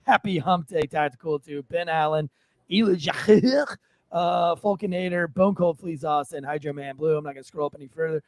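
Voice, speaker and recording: A man's voice: on the high side, moderate pace, no room sound. An American man hosting a podcast livestream in a casual, rambling, joking way with some swearing. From United States. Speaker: podcast host. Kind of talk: casual livestream talk show chat.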